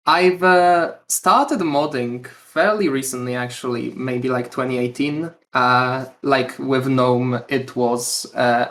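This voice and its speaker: A man's voice: medium pitch, fairly bright tone. A man, the lead developer guest on a podcast, speaking hesitantly with many fillers. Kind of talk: casual podcast interview.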